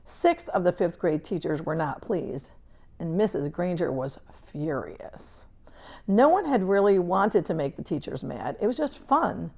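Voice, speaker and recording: A woman's voice: on the low side, big pitch swings, unhurried, vocal fry, mellow. A woman reading a children's book aloud as a scripted narration. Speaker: reader.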